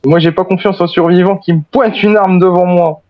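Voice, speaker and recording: A man's voice: sits high, fairly even intonation, brisk. A man improvising in character as a soldier in a video game role-play, recorded on a muffled, lo-fi microphone. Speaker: player role-playing an American soldier. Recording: muffled lo-fi mic.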